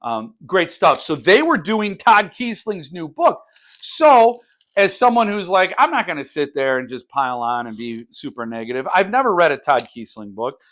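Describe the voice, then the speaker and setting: A man with a slightly high voice speaks as a book vlogger. His delivery is casual and unscripted, as if thinking aloud to his viewers, with phrases that break off and pick up again. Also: very animated, moderate pace, fairly bright tone.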